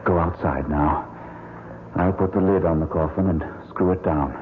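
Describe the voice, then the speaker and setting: A man playing a proper, steady solicitor in an old-time radio mystery, speaking formally and with measured firmness. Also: low-pitched, slightly rough. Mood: grave, steady. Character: proper, steady English solicitor, male, dutiful and firm. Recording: noisy narrowband old radio broadcast.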